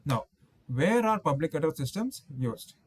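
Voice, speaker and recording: A man's voice: fairly high pitch, sing-song, normal speed, a little mellow, slight background noise. A man giving an explanatory technical lecture as an instructor, going through points methodically and often rephrasing them.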